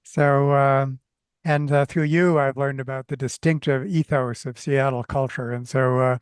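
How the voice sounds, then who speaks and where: A man hosting a conversational podcast interview, talking haltingly with many restarts. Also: mid-range voice, fairly even intonation, smooth, dry recording, clean studio sound. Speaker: podcast host.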